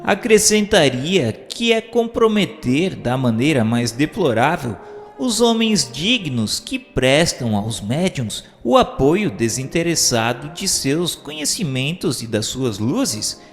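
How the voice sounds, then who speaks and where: A man with a Brazilian accent reading a book aloud as formal, didactic scripted narration, enunciating carefully. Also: a little high. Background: music.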